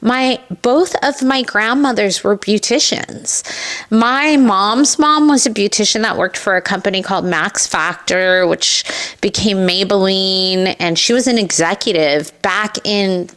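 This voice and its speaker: A woman's voice: mid-range voice, a little slow. A middle-aged woman with a Californian accent and a mid-range voice. She shares personal stories in a conversational, candid way, slipping into quoted remarks as she goes. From Southern California, United States. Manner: candid, animated retelling with acted-out quotes. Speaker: podcast guest, esthetician and business owner. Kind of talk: personal storytelling in a conversational interview.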